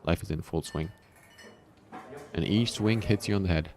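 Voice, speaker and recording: Man reading as wry, low-voiced teenage protagonist. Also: normal speed, darkish tone, lightly acted. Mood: glum, wry. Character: wry, defiant male high-school student protagonist.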